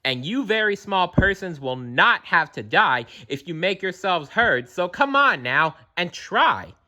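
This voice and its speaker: Gentle big elephant, guy reading it out, earnest and warm-hearted, bit raised and bouncy. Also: high voice, big pitch swings, measured pace, voice pushed up, heavily acted. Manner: earnest, encouraging.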